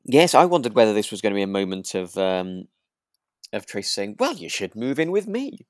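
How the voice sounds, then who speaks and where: Low, hesitant man, close and dry. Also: sing-song, brisk, dry recording. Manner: hesitant, tentative, wry. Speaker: podcast co-host. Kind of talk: relaxed casual chat reviewing a radio drama.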